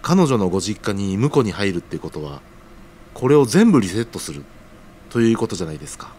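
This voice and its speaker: A man's voice: fairly low pitch, sing-song, a little fast, darkish tone, slight background noise. A man acting an earnest, humble young junior worker in an audio drama, speaking casually but deferentially. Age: young adult.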